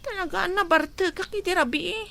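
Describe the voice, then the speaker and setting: A woman's voice: very high voice, moderate pace, creaky, sharp tone, dry recording, heavily acted. An actress playing a remorseful young mother in a radio drama, pleading emotionally with a Filipino Ilocano accent. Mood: confused, distressed.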